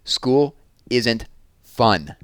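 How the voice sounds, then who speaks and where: A man reading aloud, voicing an angry fourth-grade boy who complains loudly and drags out his words. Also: medium pitch, expressive, no echo, studio quality. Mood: sullen. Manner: loud, drawn-out complaining. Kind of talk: read-aloud character voice.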